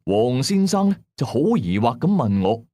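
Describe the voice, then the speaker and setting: 一個香港粵語口音嘅男人，用第一身、口語化又戲劇性嘅方式講廣播鬼古。. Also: male voice, mid-range voice, sing-song, normal speed, warm tone. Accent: Hong Kong Cantonese. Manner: dramatic, colloquial. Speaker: radio drama narrator. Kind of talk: first-person ghost story narration.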